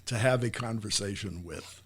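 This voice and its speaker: A man's voice: lowish voice, normal speed, gravelly, slightly rough. A man speaking as the host and interviewer on a podcast, in a conversational style.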